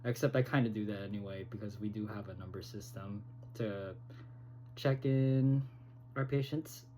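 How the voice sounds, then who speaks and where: Man vlogger, low mellow voice, slow and halting, recorded on a phone. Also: a little hiss or hum, low-quality recording. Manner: halting, hesitant, with many pauses. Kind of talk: casual vlog talk.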